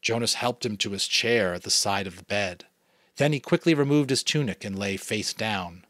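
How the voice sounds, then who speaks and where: A man from New England reading a novel aloud as a father, in a dramatic, expressive narration style. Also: on the low side, normal speed, no echo, studio quality. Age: adult, a father. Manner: expressive, varied delivery. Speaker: audiobook reader.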